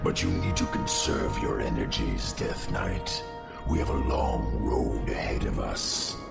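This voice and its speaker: Abyssal, gravel-throated undead crypt lord. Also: male voice, over loud music, full character voice. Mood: grave. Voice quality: deep, gravelly. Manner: slow, solemn. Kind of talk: video game cutscene acting. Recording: echoing voice, loud game soundtrack.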